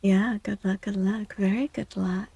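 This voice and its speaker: A woman with a soft, dark-toned voice and a gravelly fry talks in a gentle ASMR style. She takes her time, chatting casually and encouragingly with viewers as a streamer. The sound is close and very dry. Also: mid-range voice, fairly even intonation, unhurried, no echo. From United States, born to Mexican parents. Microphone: close, dry microphone.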